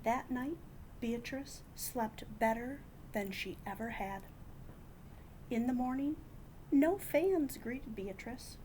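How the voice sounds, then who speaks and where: A woman with a fairly high, very lively voice reads a picture book aloud to children. She takes her time, shaping phrases with dramatic emphasis and hushed moments. A faint rumble sits behind her. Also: takes it slowly. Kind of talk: expressive read-aloud storytelling.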